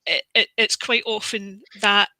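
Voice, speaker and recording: A woman's voice: medium pitch, a little slow, bright, forceful tone, dry recording. A woman co-author speaking earnestly, often repeating words as she works through her thoughts. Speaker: book author. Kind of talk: casual discussion.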